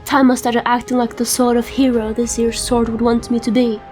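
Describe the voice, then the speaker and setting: Woman playing a scruffy, gruff would-be hero thief. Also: a little high, flat delivery, normal speed, a little mellow, soft background music, lightly acted. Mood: musing, self-satisfied. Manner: colloquial slurred vernacular with broken grammar. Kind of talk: comic fantasy dub.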